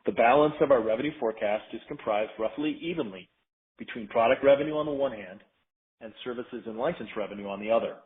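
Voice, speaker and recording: Man reading formally over a tinny phone line. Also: medium pitch. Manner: formal, measured, businesslike. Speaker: company finance executive. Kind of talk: scripted earnings call presentation. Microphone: conference call line.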